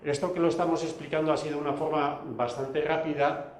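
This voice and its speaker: A man whose first language is Basque, giving a measured recorded lecture with many pauses and restarts. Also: on the high side, flat delivery, roomy sound, slight background noise.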